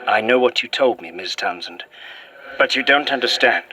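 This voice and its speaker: A man playing a courteous but haunted Victorian gentleman in a horror audio drama, with formal, old-fashioned diction and an earnest manner. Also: mid-range voice, lively intonation, moderate pace, breathy edge, poor audio. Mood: grim, challenging. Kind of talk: found-footage horror audio drama acting.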